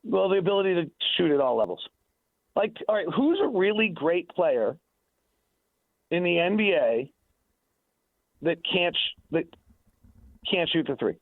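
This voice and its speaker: American guy arguing sports stats on radio, higher pitched voice, emphatic, repeats himself, thin phone sound. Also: animated, unhurried, pauses often, no room sound, narrow, tinny audio. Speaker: sports analyst on a radio show. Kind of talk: unscripted sports debate and commentary.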